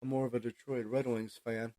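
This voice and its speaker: A middle-aged man from Texas giving a casual solo podcast monologue in a halting, plain-spoken way, pausing mid-sentence. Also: mid-range voice, level tone, mellow, dry recording. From Houston, Texas. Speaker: podcast host.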